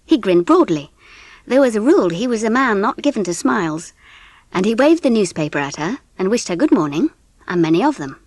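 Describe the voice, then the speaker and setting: A woman with an English accent giving an expressive, measured narration of a scripted storybook. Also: medium pitch, no room sound. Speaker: audiobook narrator.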